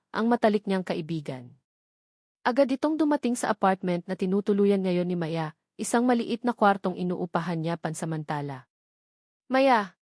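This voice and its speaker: A woman's voice: mid-range voice, a little mellow, no echo. Filipino woman narrating a dramatic story, close and dry. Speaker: audiobook narrator.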